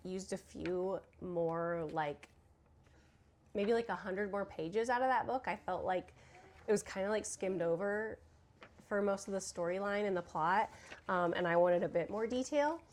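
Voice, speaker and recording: A woman's voice: medium pitch, measured pace. A young woman with a cold talks about books in a casual chat at home. She fills her talk with "like" and "um" and sniffles as she goes. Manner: chatty, filler-heavy, "like" and "um". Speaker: book vlogger. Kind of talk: casual chat while crafting. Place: home room with children nearby.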